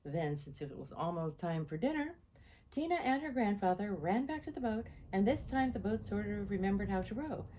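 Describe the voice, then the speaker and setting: A woman reading a picture book aloud to children in an expressive, animated storytelling style. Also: mid-range voice, normal speed. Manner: animated, repeats sound words.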